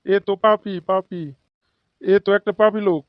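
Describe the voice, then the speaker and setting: A man's voice: high voice, level tone, normal speed, clear, resonant tone, no room sound, phone-quality sound, close to an everyday voice. A man voicing a corrupt, self-righteous office official in a comic cartoon, with a Bangladeshi regional accent and sanctimonious, pious phrasing. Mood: indignant. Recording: loud music behind the voice. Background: loud music.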